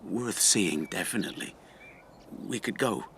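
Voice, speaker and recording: A man's voice: deep voice, expressive, unhurried, gravelly, fairly bright tone, a little hiss or hum, heavily acted. An actor playing a wistful middle-aged British working man, a folksy rambling storyteller in a naturalistic radio drama. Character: wistful middle-aged local factory worker, old family friend.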